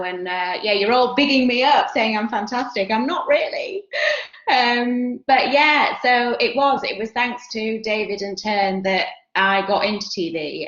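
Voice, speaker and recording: A woman's voice: on the high side, a bit bright. Young yorkshire woman, chatty and self-deprecating, roomy dull video-call sound. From Yorkshire, England. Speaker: guest speaker. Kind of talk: casual career talk on a video call.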